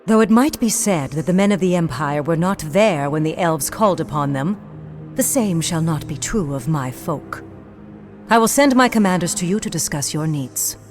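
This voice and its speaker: An actress playing a grave, proud female High Elf leader in a fantasy game, with stately, measured delivery. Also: expressive, darkish tone, faint music behind. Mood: composed, stately. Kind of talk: fantasy game cutscene acting.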